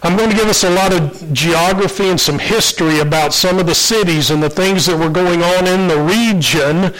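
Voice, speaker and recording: A man preaching a conversational Bible lesson, congested from allergies and clearing his throat now and then. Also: sits high, moderate pace, fairly bright tone, rough recording. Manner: conversational, with fillers and restarts. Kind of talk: Bible teaching sermon.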